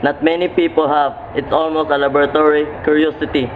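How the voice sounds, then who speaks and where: Through tinny, noisy audio, a man acts an earnest chemistry professor in a radio play. He lectures deliberately and emphatically, in a fairly high, very even voice. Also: close to an everyday voice. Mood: admiring, wistful. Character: earnest male chemistry professor, wistful and serious. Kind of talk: amateur radio play acting.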